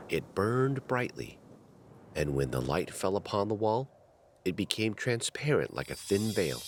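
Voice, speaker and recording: Male storybook narrator: deep voice, dramatic and highly expressive intonation, scripted fairy tale delivery. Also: background noise.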